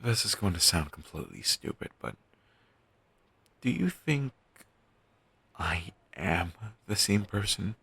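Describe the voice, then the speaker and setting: A man playing a pessimistic zombie best friend with dark sarcasm, speaking haltingly in an intimate audio roleplay. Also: deep voice, very animated, creaky, breathy edge, dry recording, heavily acted. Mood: troubled, confessional. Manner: halting, sighing, sardonic delivery with trailing hesitations.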